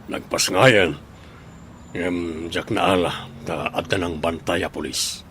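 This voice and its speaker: A man's voice: sits low, fairly even intonation, unhurried, a bit bright, slight background noise. A man with a Filipino Ilocano accent playing a shady child abductor in a radio drama. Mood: scheming, frustrated. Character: shady male child abductor plotting with an accomplice.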